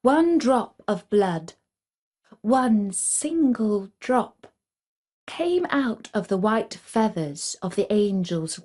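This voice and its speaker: Slow, mellow woman telling a fairy tale. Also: mid-range voice. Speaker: storyteller. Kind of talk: scripted fairy tale narration for children.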